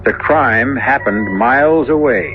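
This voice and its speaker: An actor as the ominous host and narrator of a 1970s radio horror drama, speaking in a grave, theatrical, deliberate way. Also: male voice, medium pitch, close to an everyday voice. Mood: ominous, musing. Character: ominous, knowing male host of horror tales. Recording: tinny old radio recording with music.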